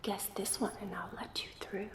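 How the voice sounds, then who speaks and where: A woman's voice: medium pitch, fairly even intonation, normal speed, lightly acted. A woman playing a playful, mysterious enchanted portrait in an ASMR roleplay, teasing with riddles and repeating words. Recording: echoey room sound.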